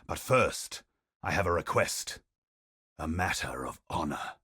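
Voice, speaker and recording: An actor playing a bold, honor-bound adventurer in a video game, with theatrical battle cries. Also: male voice, very deep voice, animated, measured pace, bright, forceful tone, slightly rough, no room sound, clean studio sound, full character voice. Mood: measured. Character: bold male knightly treasure-hunting adventurer.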